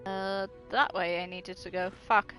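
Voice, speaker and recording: A woman's voice: medium pitch, fairly even intonation, a little slow, pushed and bright, faint music behind, poor audio. A woman chatting casually and informally as she streams her gameplay, swearing freely. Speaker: game streamer. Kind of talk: casual live gameplay chat.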